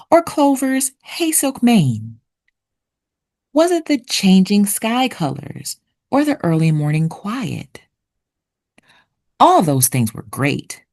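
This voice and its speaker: A woman reading a children's picture book aloud expressively, with deliberate storytelling pauses. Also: low voice, creaky. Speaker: children's read-aloud narrator.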